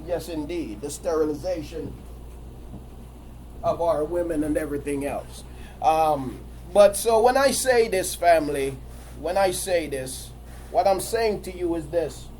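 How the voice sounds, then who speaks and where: A man's voice: on the high side, unhurried, a little mellow, a little hiss or hum, low-quality recording. A man preaching a sermon while he has a cold and sniffles, repeating his phrases in a building, emphatic cadence.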